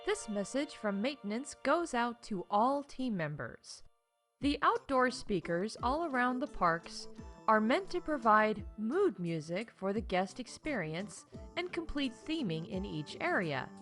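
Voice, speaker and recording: A woman's voice: fairly high pitch, very animated, unhurried, fairly bright tone, soft background music. A woman playing a chatty, wry theme park staff announcer in a scripted comedy podcast, emphatic and full of conversational asides. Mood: scolding, emphatic.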